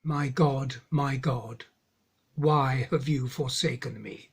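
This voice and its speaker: A man playing a dying, anguished Jesus who calls out loudly in a dramatised scripture reading. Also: medium pitch, vocal fry, slightly rough, heavily acted. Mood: forsaken anguish. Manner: loud, slow, anguished.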